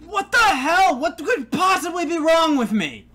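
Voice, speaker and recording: A man's voice: extremely high pitch, very animated, a little slow, pushed and bright, full character voice. A man in his twenties playing an exasperated, sarcastic young captive in a scripted comedy sketch. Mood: indignant. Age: 20s. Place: living room.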